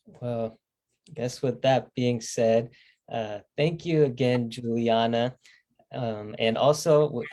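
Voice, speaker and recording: Young male host, mellow tone, moderates a live-stream Q&A on a video call, reads out questions with a scripted feel and plenty of um's. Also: mid-range voice. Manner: scripted, transitional, with frequent um's.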